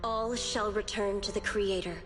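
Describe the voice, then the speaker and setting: A woman's voice: medium pitch, fairly even intonation, moderate pace, natural voice. A woman playing a serene, scheming cultist zealot in a video game, speaking in a lofty, taunting, ceremonial manner. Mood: solemn. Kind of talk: dramatic video game voice acting. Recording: loud game music behind. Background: music.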